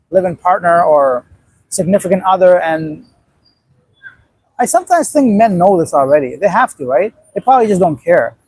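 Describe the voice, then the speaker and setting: Man, late thirties, from Afghanistan, livestream host, husky voice, blunt rambling chat, swears casually. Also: high voice, darkish tone, dry recording, low-quality recording. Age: 30s. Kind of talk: casual live-stream chat reading viewer comments.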